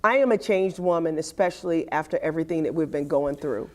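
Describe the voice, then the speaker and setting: Raspy, loud-voiced woman telling a story. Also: brisk. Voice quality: raspy, with some bass and hardness. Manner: loud voice that carries, animated storytelling. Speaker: podcast host. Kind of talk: casual chat, telling a story.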